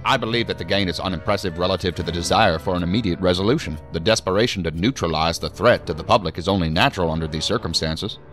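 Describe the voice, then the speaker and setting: A man playing a weary, dryly witty federal assistant director in an audio drama, speaking in a formal, measured, articulate way. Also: low-pitched, a bit bright, faint music behind. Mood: controlled, formal.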